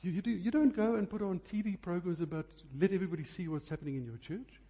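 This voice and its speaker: A man's voice: sits high, fast talker, warm tone, breathy edge, lo-fi sound. A man preaching a sermon, phrasing emphatically and repeating key words for effect.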